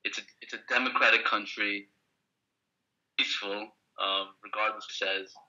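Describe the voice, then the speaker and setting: Low-voiced man, halting, on a lo-fi call. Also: steady tone, pushed and bright. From Queens, New York, USA. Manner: halting, repeats words while searching for them. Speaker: pro-Israel debate participant. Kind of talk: online debate over a call. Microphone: video call. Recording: lo-fi internet call audio.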